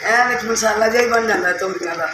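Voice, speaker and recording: A woman's voice: mid-range voice, brisk. A woman with a Punjabi accent cooks and chats homely to viewers, calling them her sisters. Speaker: home cook. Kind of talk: casual cooking chat. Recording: echoey kitchen from far off, loud noise.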